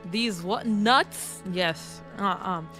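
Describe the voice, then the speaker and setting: Woman streamer, congested throaty voice, mid-range pitch, playful self-mocking chatter over game music. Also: extremely slow. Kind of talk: casual game stream commentary, reading game text aloud.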